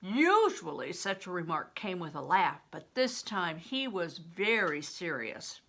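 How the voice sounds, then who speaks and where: Bright-voiced older woman reading, very varied pitch. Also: sing-song, unhurried. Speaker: grandmother reading a novel aloud. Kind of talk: storybook narration with explanatory asides.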